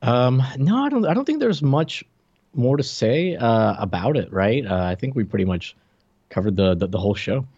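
A man's voice: medium pitch, sing-song, normal speed, a little mellow, husky, no echo. A man co-hosting a podcast, giving his opinions in casual pop-culture review chat. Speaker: podcast co-host. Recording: slightly muffled lo-fi mic, dry.

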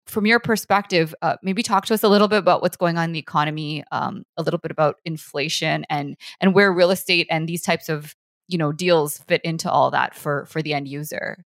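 A woman's voice: low voice, brisk, no room sound, studio quality. A woman hosting a casual interview, asking questions in a conversational way with frequent fillers. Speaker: interview host.

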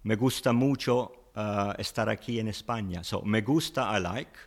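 A man with a lowish voice and an Italian accent, a Spanish teacher being interviewed. He explains things patiently and keeps adding "you know" as he goes. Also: normal speed, creaky. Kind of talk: interview guest.

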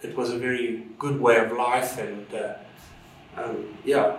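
A man's voice: mid-range voice, steady tone, unhurried, recorded from a distance, slight background noise. A man from Zimbabwe recalls his past in a loose, rambling way, full of ums and restarts. He sits back from the microphone in a very echoey room. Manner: rambling, warm storytelling with many fillers and restarts. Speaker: interview guest, drone instructor and pilot. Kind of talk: casual conversational interview.

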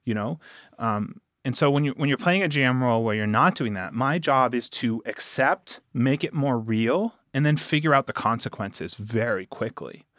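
A man talks casually as an interview guest, with a mid-pitched voice and a very dry, close sound. He tells his stories with animation and leans on key words for emphasis. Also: expressive, moderate pace, a bit bright, dry recording. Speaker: podcast guest, producer and game master of an audio drama.

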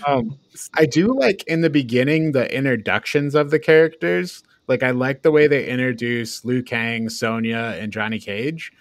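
A man's voice: mid-range voice, moderate pace, no room sound. Casual man with a close, dry sound. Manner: informal, prone to chuckling and swearing. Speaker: podcast panelist reviewing films. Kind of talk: casual discussion.

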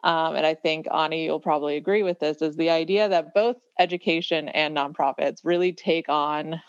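A woman's voice: low-pitched, fairly even intonation, normal speed, clean tone, no echo, lo-fi sound. A woman of about thirty talking reflectively in a casual podcast conversation, building her points through repeated phrases. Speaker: podcast guest.

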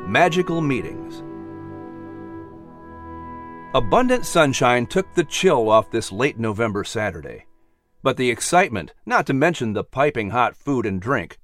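A man's voice: mid-range voice, a bit bright. A man reading scripted storybook narration as a narrator.

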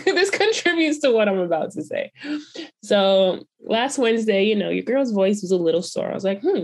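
A woman hosting a podcast, chatting casually in slangy, laughing storytelling while getting over covid. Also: mid-range voice. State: recovering from covid. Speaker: podcast host. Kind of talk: casual chat.

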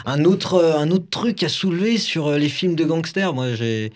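A man's voice: on the high side, lively intonation, moderate pace. A man hosting a casual film podcast, talking with hesitations and restarts and laughing often. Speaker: podcast host. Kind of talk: casual chat about films.

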